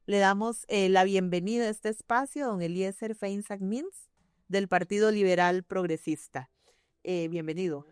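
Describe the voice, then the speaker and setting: A woman hosting a formal broadcast interview, speaking in a measured way. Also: mid-range voice, fairly even intonation, smooth, no echo, clean studio sound. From Costa Rica.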